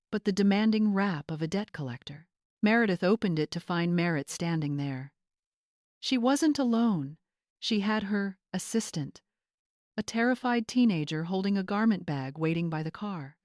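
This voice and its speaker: A woman's voice: lowish voice, darkish tone, no room sound, clean studio sound. A woman reading scripted, dramatic story narration as a storyteller.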